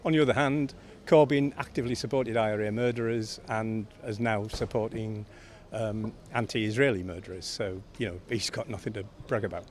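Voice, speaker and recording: A man with a fairly low voice answers an interviewer as a member of the public. His delivery is casual and opinionated, like someone stopped for his view. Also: fairly even intonation, normal speed.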